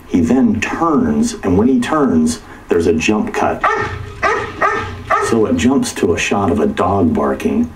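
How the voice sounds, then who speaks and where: Brief: low-voiced male tour guide, recorded from a distance outdoors. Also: a little mellow. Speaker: filming-location tour guide. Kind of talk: explanatory walking tour.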